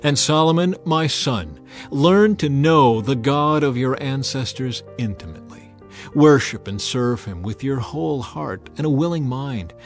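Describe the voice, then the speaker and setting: A man's voice: medium pitch, lively intonation, a little slow. A man voicing a solemn aging king who addresses his people in an earnest, oratorical way, in a dramatized scripture reading. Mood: earnest, commanding. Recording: music behind the voice.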